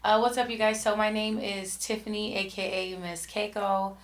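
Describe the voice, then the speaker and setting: A woman's voice: mid-range voice, level tone, moderate pace. Brief: woman born in Kenya, playful panel guest, easy laughter. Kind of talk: casual group chat.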